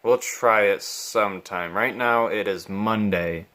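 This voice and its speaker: A man with a fairly low but bright, forward voice talks very slowly. He thinks aloud in halting phrases, giving casual, unscripted commentary like a hobbyist at a repair bench. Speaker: hobbyist repairing a vintage radio on video.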